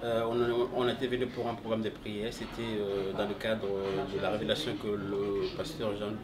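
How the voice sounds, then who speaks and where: A man from France giving a hesitant testimony, with many pauses and restarts. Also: on the low side, flat delivery. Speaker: visiting church member giving testimony. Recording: distant mic, crowd noise.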